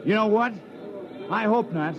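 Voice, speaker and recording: An actor playing an excited, self-doubting male miner in a 1950s radio play. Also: high voice, a little slow, muffled recording. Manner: excited, chatty. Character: eager, insecure male miner on Mars. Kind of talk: 1950s radio drama acting. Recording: crowd-filled vintage radio scene. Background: crowd.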